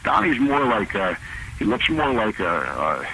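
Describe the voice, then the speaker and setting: A man's voice: lowish voice, sing-song, unhurried, bright, forceful tone, a little hiss or hum, rough recording. A man, a drummer, talking casually as a guest on a radio interview, rambling and repeating words with lots of fillers.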